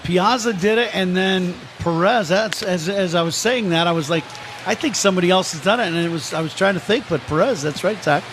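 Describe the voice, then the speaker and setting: A man with a somewhat high voice provides baseball color commentary. He talks in a casual, conversational radio style. Clear ambient noise sits behind him in the stadium booth. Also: brisk. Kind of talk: casual sports banter and commentary.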